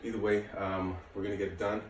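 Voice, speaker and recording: A man's voice: lowish voice, little pitch movement, quick pace. A man in his late thirties giving casual, easygoing workout instruction to camera as a fitness instructor. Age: late 30s. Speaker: martial arts and fitness instructor. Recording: echoey room, distant mic, faint noise.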